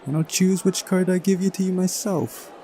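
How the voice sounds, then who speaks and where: Man putting on a bratty, higher-pitched girl. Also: moderate pace, dark tone, a little hiss or hum. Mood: teasing, defiant. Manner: taunting, petulant. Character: bratty, mischievous, cheeky girl card player.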